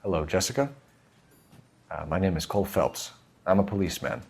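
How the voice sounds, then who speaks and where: An actor playing an earnest male police detective who questions people in a firm, measured way. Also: deep voice, vocal fry, a little mellow, breathy edge, exaggerated voice. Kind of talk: video game voice acting.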